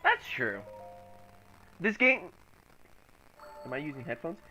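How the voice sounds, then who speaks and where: A young man casually chatting while streaming a game from home, reacting out loud to the game and to chat. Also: a little high, wildly swooping pitch, slow, soft background music, low-quality recording. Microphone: laptop microphone.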